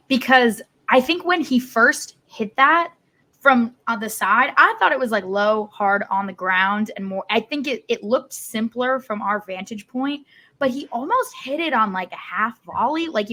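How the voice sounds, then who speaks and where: Female sports podcaster, bright and cutting tone. Casual, emphatic match analysis with repeated phrases for stress. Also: fairly high pitch, lively intonation, unhurried.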